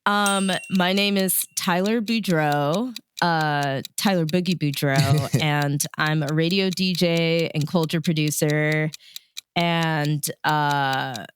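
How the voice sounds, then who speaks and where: A woman's voice: deep voice, takes it slowly, slight background noise. A woman radio DJ answering questions as a guest in a casual, chatty, informal interview.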